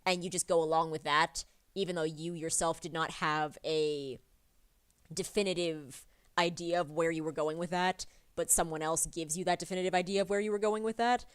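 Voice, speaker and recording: A woman's voice: fairly low pitch, steady tone, moderate pace, clean tone. A woman streaming her drawing session, chatting casually and rambling with many fillers, a little sniffly from a cold. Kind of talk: casual chat while drawing.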